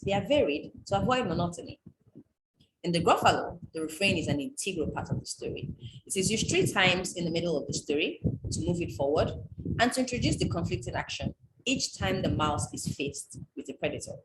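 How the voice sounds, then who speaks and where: A woman from Nigeria, reading a prepared talk on folktales in a measured, explanatory way. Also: fairly low pitch, expressive. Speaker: writer presenting on folktales. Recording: echoey room with background noise.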